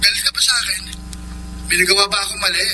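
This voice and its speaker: A man playing a gentle, earnest young suitor in a radio drama, sincere and hesitant. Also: sits high, fast talker, slight background noise, low-quality recording. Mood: earnest, uneasy. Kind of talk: Filipino radio drama acting.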